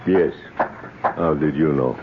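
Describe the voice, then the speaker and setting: Solemn, deep-voiced native man, vengeful. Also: slow, heavily acted. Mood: grave, polite. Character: solemn vengeful South American native man, bereaved father. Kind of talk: old-time radio drama acting. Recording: noisy, dull old radio recording.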